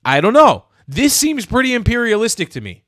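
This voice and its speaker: A man's voice: very high-pitched, wildly swooping pitch, brisk, a bit bright, no echo. A young man from the United States ranting angrily and sarcastically in his own online commentary, speaking into a studio mic in a small room. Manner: heated, sarcastic, swearing. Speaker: commentary youtuber. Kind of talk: angry commentary rant. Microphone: studio mic on stand, headphones.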